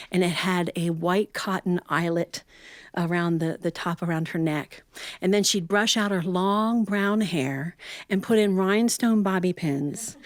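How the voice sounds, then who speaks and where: A middle-aged or older American woman telling a personal family story in a reflective, folksy way, with expressive phrasing and conversational asides. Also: fairly low pitch, no echo.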